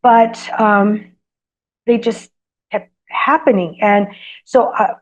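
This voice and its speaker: A woman's voice: mid-range voice, takes it slowly. Hesitant Greek-native woman telling stories. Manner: hesitant, lots of ums, animated when telling anecdotes. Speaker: guest author. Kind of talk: storytelling in an interview. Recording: slightly dull lo-fi sound.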